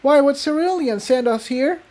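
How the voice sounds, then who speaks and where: A man's voice: very high voice, moderate pace, darkish tone, pitched above the performer's own voice. A man voicing a frantic, tearful young female pony in a storybook reading, pleading and exclaiming. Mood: alarmed.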